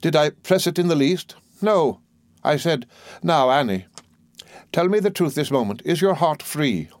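A man's voice: a little high, lively intonation, unhurried, dry recording, voice pushed up. A man putting on a gushing, self-important older mother. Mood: self-satisfied. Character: fussy older woman, garrulous, self-satisfied mother.

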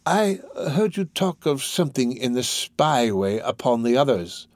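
Storybook villain, played by a male narrator: older man, sly fence, slow coaxing delivery. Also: medium pitch, sing-song, no echo. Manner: slow, drawn-out, ingratiating.

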